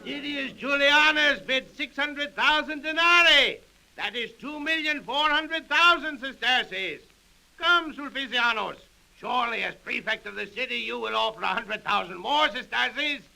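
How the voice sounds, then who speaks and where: An actor playing a grandiose, goading Roman Praetorian officer running an auction in declamatory 1930s radio drama style. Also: male voice, very high-pitched, unhurried, creaky, pushed and bright, full character voice, rough recording. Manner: declamatory, theatrical oratory. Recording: old 1930s radio recording.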